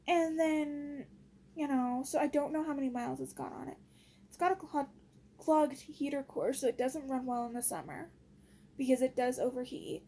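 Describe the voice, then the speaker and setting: High-voiced woman venting, exasperated. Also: normal speed, mellow, slight background noise. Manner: exasperated, blunt, slangy. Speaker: vlogger. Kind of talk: casual venting chat.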